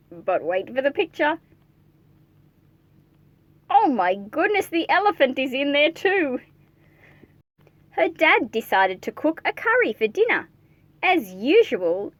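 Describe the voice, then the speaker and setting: Female children's read-aloud host: highish pitch, big melodic swings, playful and chatty with young listeners, dry recording. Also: no room sound. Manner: animated, playful, addressing young listeners directly.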